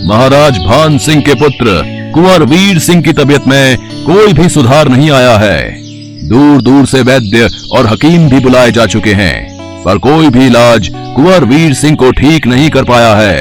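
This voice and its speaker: A man's voice: medium pitch, lively intonation, a little slow, faint music behind, close to an everyday voice. A man playing a royal soldier making formal proclamations in a storybook tale. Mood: proclaiming. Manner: declamatory, formal town-crier announcing. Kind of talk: Hindi moral storybook acting.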